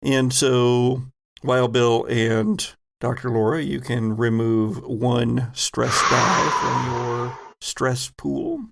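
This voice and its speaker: Very gravelly man narrating a tabletop game. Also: medium pitch, steady tone, extremely slow, fairly bright tone. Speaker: game master. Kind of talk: improvised scene narration for tabletop roleplay.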